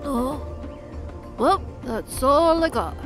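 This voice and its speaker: A woman's voice: high voice, lively intonation, slow, fairly bright tone, soft background music, full character voice. A woman voicing a short, round, hapless joking boy in a fantasy audio drama, childish and awkward. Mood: sheepish.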